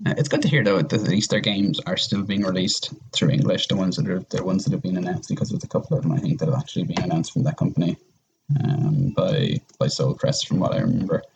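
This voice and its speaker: A man's voice: a bass voice, vocal fry, slight background noise, rough recording. A man chatting casually as a podcast guest, talking in a rambling, conversational way. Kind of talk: casual chat.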